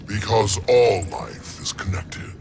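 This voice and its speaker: An actor playing an ancient, solemn plant monster guardian of nature in a video game, with a growling, gravelly voice and a formal, ponderous manner. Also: male voice, fairly low pitch, sing-song, slow pace, exaggerated voice. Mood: solemn menace. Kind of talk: video game fight intro dialogue. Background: music.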